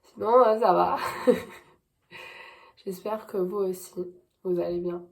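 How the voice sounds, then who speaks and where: A woman's voice: mid-range voice, fairly even intonation, slow pace, gravelly, music in the background. A young woman chatting casually in a vlog diary, congested with a cold, talking in a chatty way with lots of fillers and happy repetition. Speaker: vlogger.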